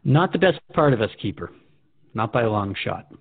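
A man's voice: on the low side, very animated, moderate pace, gravelly, narrow, tinny audio. A man hosting a radio show, speaking reflectively in scripted radio drama dialogue.

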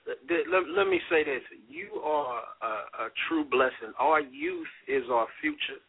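A man's voice: on the high side, animated, unhurried, husky. A man in his forties, a pastor hosting a radio talk show, speaking with a preacher's cadence and frequent amens. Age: 40s. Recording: phone-line radio audio.

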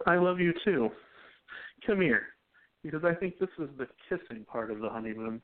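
Man as loving young husband, lively high-ish voice, tinny. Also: normal speed, close to an everyday voice. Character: young male newspaperman, loving and devoted. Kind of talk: amateur radio play acting. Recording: tinny phone-like sound.

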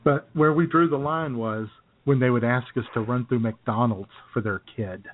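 A man's voice: medium pitch, moderate pace. A man co-hosting a talk show, telling casual comic anecdotes in easy banter and often chuckling as he talks. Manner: chuckles often while talking. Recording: thin phone-like lo-fi sound.

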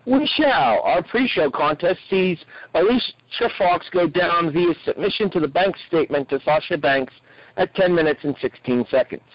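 A man with a slightly rough voice, co-hosting a wrestling podcast in casual review chat. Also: on the high side, expressive, normal speed, no room sound, highs cut off.

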